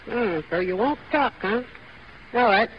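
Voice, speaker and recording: Very high-voiced needling showbiz crony. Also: male voice, animated, measured pace, slight background noise. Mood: prying. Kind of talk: 1930s radio acting. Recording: echoey, muffled 1930s radio broadcast.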